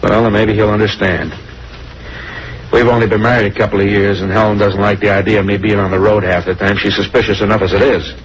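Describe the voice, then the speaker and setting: A man's voice: fairly low pitch, level tone, quick pace, lightly acted. An actor playing a nervous, self-justifying American married salesman in a 1950s radio crime drama, rambling colloquially and defensively. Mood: defensive, pleading. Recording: dull, noisy old radio recording.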